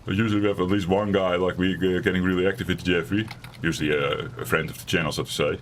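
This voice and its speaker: A raspy, fairly low-pitched man rambles through casual let's-play commentary, joking at his own expense as he streams from a home gaming setup. Faint keyboard clicks tick away behind him. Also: flat delivery, fast, slight background noise. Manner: rambling, self-deprecating, chatty.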